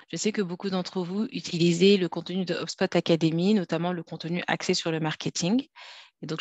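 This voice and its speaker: A woman's voice: on the low side, dry recording. A woman from France giving a structured, polite masterclass presentation over a video call. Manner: polite, measured, structured, some fillers. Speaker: guest speaker, trainer.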